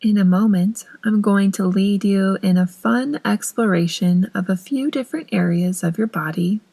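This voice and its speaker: A woman narrating a guided meditation in a soothing, unhurried manner with long pauses between phrases. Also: medium pitch, gravelly, warm tone.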